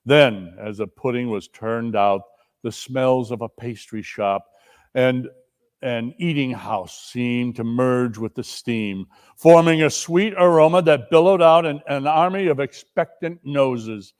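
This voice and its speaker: A man giving a theatrical storybook narration, deliberate and expressive. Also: mid-range voice. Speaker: narrator in a dramatic reading.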